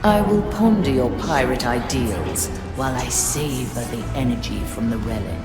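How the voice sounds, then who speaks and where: An actress playing the solemn, proud spirit of a living ghost ship in a video game, formal and measured with a ghostly reverb. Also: female voice, extremely low pitch. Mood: resolute. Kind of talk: video game character acting. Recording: echoey voice under loud music.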